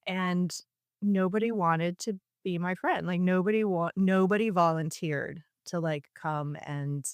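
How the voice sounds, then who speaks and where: A woman's voice: fairly low pitch, measured pace, clean tone, no echo. A woman guest on an interview show, telling candid, self-deprecating stories and laughing often in casual conversation. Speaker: podcast guest, actor.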